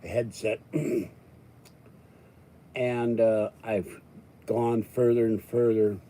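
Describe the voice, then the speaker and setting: An older American man hosting his own channel, talking in a rambling, casual way with jokey asides and frequent throat-clearing. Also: mid-range voice, flat delivery, drawn-out words, mellow, slight background noise. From Pittsburgh, United States. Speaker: YouTube channel host. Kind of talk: rambling casual monologue to viewers.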